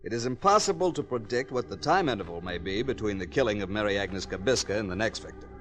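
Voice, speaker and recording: Assured American male professor, low pitch, grave, precise, measured, 1950s radio drama acting. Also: animated, a bit bright. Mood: grave, certain.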